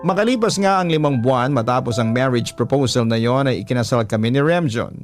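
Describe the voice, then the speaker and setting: A man reading a listener's love story aloud in the first person, in a measured storytelling manner. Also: mid-range voice, faint music behind. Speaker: narrator reading a listener's letter. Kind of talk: scripted first-person narration.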